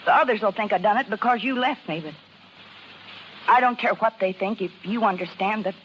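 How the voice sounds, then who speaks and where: An actress playing a tired, broke carnival dancer in a 1930s American radio drama, speaking hesitant, folksy slang. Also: female voice, mid-range voice, a little fast, a bit bright, lo-fi sound, a little hiss or hum, lightly acted. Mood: despairing, resigned. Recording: vintage 1930s radio, faint music behind.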